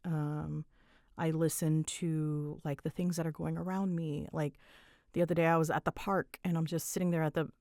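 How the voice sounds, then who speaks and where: A woman's voice: deep voice, flat delivery, moderate pace, a little mellow, no echo, clean studio sound. A young woman chatting casually as she answers listener questions, informal and self-deprecating, with a giggly manner. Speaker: voice actor and channel creator. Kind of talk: casual solo Q&A chat to listeners.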